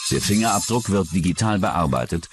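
A man's voice: low voice, expressive, a little fast, music in the background. A man reading scripted narration for a true-crime documentary.

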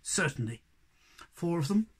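A storyteller plays a storybook hobgoblin magician. The voice is courteous and composed, unhurried and kindly, at a middle pitch with a lively lilt. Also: husky. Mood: kindly, assured.

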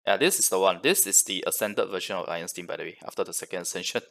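A man's voice: low-pitched, rapid-fire, creaky, over loud music. A man hosting a gaming reaction video, giving casual, analytical commentary in a conversational way.

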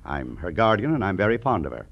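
Stern rich uncle type, lowish voice, very animated, brusque, muffled old radio. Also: male voice, fast, gravelly, breathy edge, heavily acted. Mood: stern, worried. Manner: brusque, authoritative, precise. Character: stern, exasperated rich uncle and guardian, middle-aged or older. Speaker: supporting actor in a radio drama. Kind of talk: 1950s radio drama acting. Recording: dull old radio recording.

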